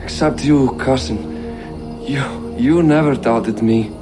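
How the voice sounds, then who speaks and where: A man playing a proud, wistful dying warrior king in a cinematic video game scene, solemn and halting. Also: mid-range voice, lightly acted. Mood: weary, proud. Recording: music behind.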